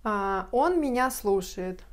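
Woman, 30s, english teacher, patient whiteboard explanation, slow example phrases, medium pitch, lapel mic. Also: animated. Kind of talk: whiteboard grammar lesson. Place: bright room at a whiteboard.

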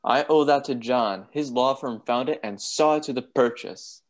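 A man playing a courteous, troubled gentleman doctor with polite, formal speech in a radio play. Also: mid-range voice, normal speed, natural voice.

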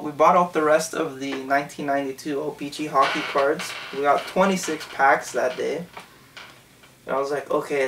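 A man's voice: on the high side, fairly bright tone, recorded from a distance, a little hiss or hum. A young man in his twenties, from Canada, telling a story for his channel in a loose, rambling way, full of "um" and "like". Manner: rambling, lots of "um" and "like". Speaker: channel host. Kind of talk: casual storytelling vlog. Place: small bedroom, indoors.

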